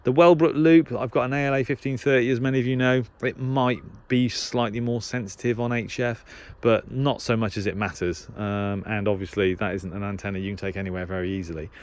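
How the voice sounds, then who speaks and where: A man's voice: mid-range voice, steady tone, brisk, hardly any pauses, darkish tone. A man giving a casual, unscripted equipment review as a hobbyist presenter, hesitating and thinking aloud as he goes. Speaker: shortwave radio hobbyist presenter.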